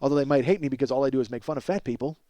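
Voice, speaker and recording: A man hosting a podcast, telling a casual, profane comic story in an animated, exaggerating way and acting out both sides of conversations. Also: medium pitch, rapid-fire, no echo. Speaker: podcast host.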